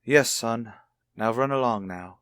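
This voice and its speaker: A man's voice: mid-range voice, measured pace, fairly bright tone, dry recording, clean studio sound, lightly acted. Man playing a stern, condescending radio-soap father. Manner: condescending, sarcastic, formal. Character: stern, rigid, stubborn husband and father. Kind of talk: radio soap opera acting.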